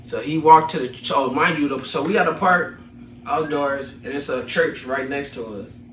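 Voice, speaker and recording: A man's voice: on the high side, moderate pace, fairly bright tone. A young adult man telling personal stories casually, with slangy, profane phrasing and a chuckling manner. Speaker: storyteller host of a personal podcast. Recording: echoey room, distant mic, faint noise.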